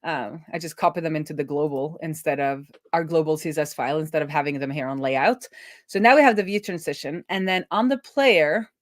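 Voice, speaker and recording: A woman's voice: deep voice, fairly quick, no room sound, studio quality. A woman doing casual live coding commentary as a streaming developer, thinking aloud as she goes.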